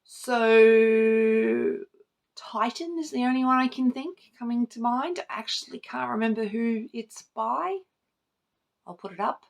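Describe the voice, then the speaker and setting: A woman's voice: fairly high pitch, measured pace, gravelly. A woman talking casually and informally as a book vlogger, rambling, interrupting herself and swearing lightly now and then. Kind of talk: casual chat to camera about books.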